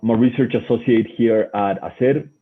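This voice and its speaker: Colombian accent guy giving a webinar talk, husky voice, stumbles and repeats words, tinny call audio. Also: mid-range voice, moderate pace. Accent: Colombian Spanish. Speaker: guest research associate presenting. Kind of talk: webinar presentation. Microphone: online video call. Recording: muffled, phone-like webinar audio.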